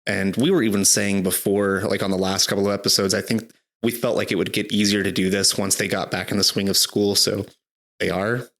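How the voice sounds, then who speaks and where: A man in his thirties with a low voice chats casually as a podcast co-host. He rambles with plenty of fillers and restarts, and his throat still sounds a little rough. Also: flat delivery, a little fast, a bit bright. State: slightly hoarse, recovering from illness. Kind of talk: casual chat.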